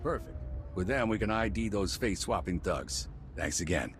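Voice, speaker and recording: A man's voice: lowish voice, animated, moderate pace, slight background noise, natural voice. A man playing an earnest, determined city security officer in a video game. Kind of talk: scripted video game dialogue.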